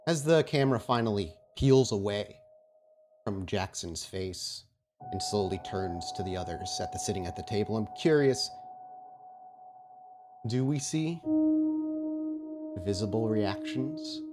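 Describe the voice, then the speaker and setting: A man's voice: fairly low pitch, unhurried, long pauses. A man narrating a tabletop roleplaying podcast as the game master. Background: music.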